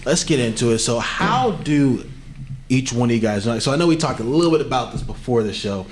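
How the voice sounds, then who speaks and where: A man's voice: mid-range voice, lively intonation, a little fast, slight background noise. A man co-hosting a podcast, talking in a reflective, motivational way with coaching sayings. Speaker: podcast co-host. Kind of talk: casual podcast chat.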